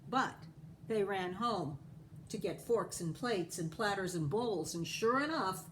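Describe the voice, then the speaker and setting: A woman reading a picture book aloud in an expressive, animated storytelling style. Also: mid-range voice, unhurried, slight background noise, rough recording. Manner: animated, acting out the dialogue. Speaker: storybook reader.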